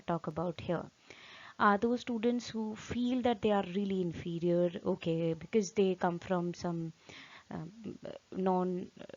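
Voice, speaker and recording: Indian-accented woman teacher, gravelly voice. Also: fairly low pitch, a little mellow. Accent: Indian English. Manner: rambling, many fillers and self-corrections, frequent "right?". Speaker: IELTS trainer. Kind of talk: informal online teaching talk. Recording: dull lo-fi audio.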